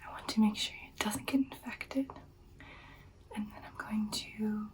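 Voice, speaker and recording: A woman playing an affectionate, caring vampire girlfriend in a whispered ASMR roleplay, speaking softly and reassuringly, slightly off the mic. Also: mid-range voice, fairly even intonation, measured pace, far from the mic, close to an everyday voice. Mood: careful, reassuring. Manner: soft, soothing, reassuring personal attention.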